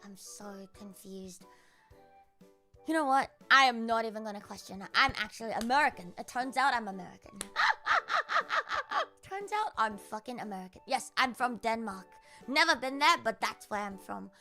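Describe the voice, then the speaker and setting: A woman's voice: fairly high pitch, pushed and bright. A young woman with an Australian accent, chatting casually on a live stream and answering comments with sarcastic, swear-heavy banter and frequent laughter.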